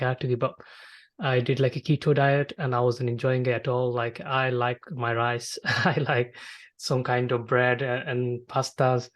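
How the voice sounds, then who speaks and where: A man from Bangladesh with as his hosts a casual conversation. His mid-pitched voice moves very little in melody. He speaks haltingly, often circling back over his words. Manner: halting, repetitive phrasing with frequent filler "like". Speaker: podcast host. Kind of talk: casual interview chat.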